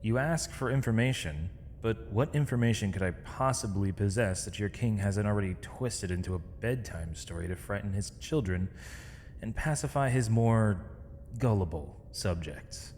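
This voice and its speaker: Man acting as a weary captured villain mage, low voice, dry sarcasm, formal theatrical diction, fantasy audio roleplay, noisy reverberant recording. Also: expressive, moderate pace, darkish tone, lightly acted. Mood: sardonic, probing. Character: captured male mage, defiant, weary, eloquent, accused villain. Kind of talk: scripted ASMR audio roleplay. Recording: long reverb, clear background noise.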